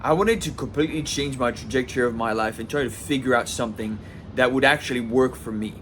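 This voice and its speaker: A man's voice: medium pitch, quick pace, fairly bright tone, a little hiss or hum. A man in his twenties from southwest France, talking casually to camera in a rambling personal vlog, full of restarts and "like". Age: 20s. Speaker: vlogger.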